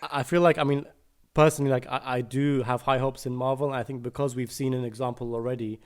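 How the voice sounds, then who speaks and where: A man's voice: medium pitch, little pitch movement, fast. British man hosting, hesitant and thoughtful. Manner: thoughtful, hesitant, many fillers. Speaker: podcast host. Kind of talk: casual podcast interview.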